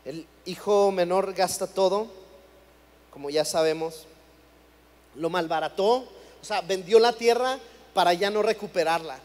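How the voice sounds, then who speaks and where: A high-voiced young man from Chihuahua, Mexico, preaching a sermon in a conversational tone and often doubling words as he begins a thought. His voice carries a long reverb through a church hall. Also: a little slow, long pauses, a little mellow. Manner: conversational, repeats words when starting phrases.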